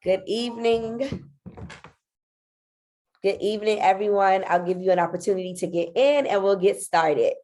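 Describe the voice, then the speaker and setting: A woman's voice: medium pitch, moderate pace, long pauses, mellow, faint music behind. Congested nasal woman addressing parents. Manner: friendly, filler-heavy with frequent ums. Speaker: school principal. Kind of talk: online parent meeting presentation.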